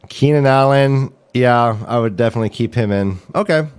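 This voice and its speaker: A man with a mid-pitched voice hosts a casual livestream. He talks in a playful, joking manner, like someone chatting about sports with friends. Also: clean tone. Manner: jokey, playful. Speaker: host of a fantasy football livestream.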